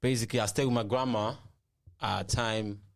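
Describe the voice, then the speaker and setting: A man talks in a low voice, picking his words slowly with lots of "um" and "you know what I mean." The sound is close and dry, with no room around it. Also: fairly even intonation, creaky, no echo, soft background music. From Nigeria. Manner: hesitant, many fillers and restarts. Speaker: musician guest. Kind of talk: casual interview.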